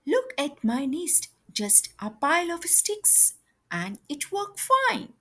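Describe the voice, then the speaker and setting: A woman's voice: high voice, very animated, slow pace, a bit bright, heavily acted. A woman voicing a lazy, scornful male pigeon in a children's storybook, with a dismissive sneer.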